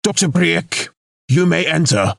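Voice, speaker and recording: An actor playing a self-important, scheming noble lord in an audio drama, with formal, measured, pompous delivery. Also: male voice, on the high side, animated, gravelly, no echo, clean studio sound, full character voice. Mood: smooth, assured. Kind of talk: scripted audio drama acting.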